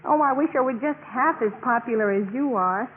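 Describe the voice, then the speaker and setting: Casting: woman to play timid young sorority pledge, high earnest voice, 1950s radio drama, thin crackly recording. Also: brisk, natural voice. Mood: wistful, grateful. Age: young adult. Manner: apologetic, earnest, breathless gushing. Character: anxious, meek, eager young college pledge girl. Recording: noisy, tinny 1950s radio broadcast recording.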